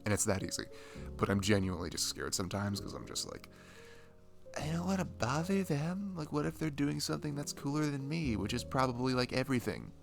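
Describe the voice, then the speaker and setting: Creaky, shy, dorky young man, acted out by a man. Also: mid-range voice, sing-song, over music. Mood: shy, downcast. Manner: hesitant, self-deprecating, halting with fillers and sighs. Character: dorky, shy, lonely young man, the listener's best friend. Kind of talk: intimate ASMR roleplay, casual rambling monologue.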